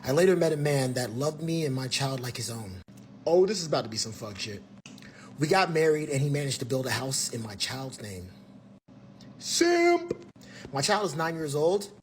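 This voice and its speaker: A man reading a story post aloud quickly, with dramatic asides between lines. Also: mid-range voice, sing-song, a little hiss or hum, voice pushed up, natural voice.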